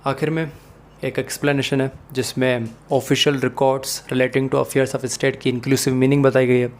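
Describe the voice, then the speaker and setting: A man's voice: mid-range voice, level tone, a little slow. A man with a Pakistani accent giving a methodical explanatory lecture, mixing in English legal terms and setting out points one by one. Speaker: law lecturer.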